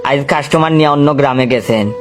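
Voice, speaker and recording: Male storyteller, mid-pitched, delivering scripted comic narration with a music bed underneath. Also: fast.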